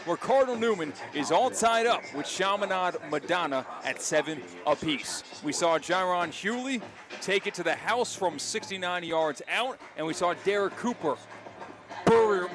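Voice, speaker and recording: A man calling a live football broadcast as play-by-play announcer, with emphatic, wordy sportscaster phrasing. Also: on the high side. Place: outdoor stadium broadcast position. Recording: live stadium broadcast with crowd noise. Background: stadium crowd.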